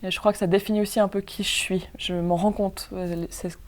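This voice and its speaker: A woman's voice: medium pitch, flat delivery. A young woman born in Spain, an improv performer, answering interview questions casually with many fillers. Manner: hesitant, many fillers, quick asides. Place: outdoors at a campsite lake.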